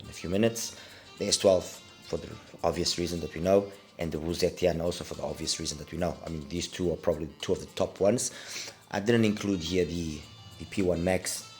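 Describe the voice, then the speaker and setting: A middle-aged man, congested with a cold, giving a casual, hesitant product review and repeating words for emphasis. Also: deep voice. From Portugal. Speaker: audio gear reviewer.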